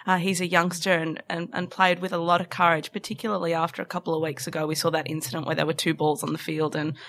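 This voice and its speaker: A woman's voice: on the low side, flat delivery, quick pace, fairly bright tone. A woman hosting a casual radio panel chat in a studio, welcoming her guests with a light laugh. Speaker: radio show host. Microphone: studio microphone.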